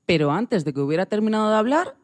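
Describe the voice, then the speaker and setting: A woman's voice: mid-range voice, lively intonation, quick pace, a little mellow. A woman reading scripted narration for a children's story.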